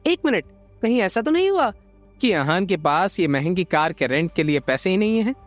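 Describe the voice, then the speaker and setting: A woman's voice: lowish voice, big pitch swings, normal speed, soft background music. A woman acting a spiteful, haughty young schemer in an audio drama, with a mocking, sneering manner.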